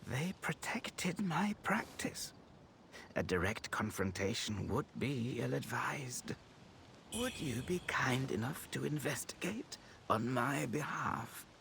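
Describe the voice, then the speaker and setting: A man playing a courteous, solemn Taoist temple healer in a video game, speaking formally and gently, with breathy phrase endings. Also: medium pitch, animated, slow, a little hiss or hum, full character voice. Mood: grave, thoughtful. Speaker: non-player character in a video game. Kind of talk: dramatic video game dialogue. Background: background music.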